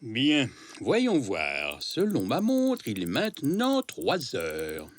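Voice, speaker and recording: Slow, swooping cartoon grandpa zebra. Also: male voice, medium pitch, wildly swooping pitch, exaggerated voice. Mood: kindly explaining. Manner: hesitant, drawn-out, explanatory. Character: kindly, patient old grandpa zebra, easily muddled. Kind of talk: French children's cartoon dubbing.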